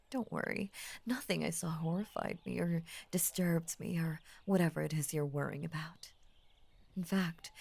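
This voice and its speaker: A woman voicing an ancient, possessive lamia in intimate roleplay audio, tender and formal, with a breathy husky voice that often drops into a whisper. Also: sits low, exaggerated voice. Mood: reassuring, tender. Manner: slow, tender, formal, hushed obsessive devotion. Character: ancient possessive lamia, lovestruck, devoted and murderous.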